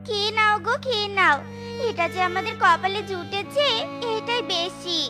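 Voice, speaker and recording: Devoted beggar wife, cartoon, voice actor performing: extremely high pitch, folksy, pleading, resigned; prominent music bed. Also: normal speed. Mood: tender. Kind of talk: melodramatic cartoon story dialogue.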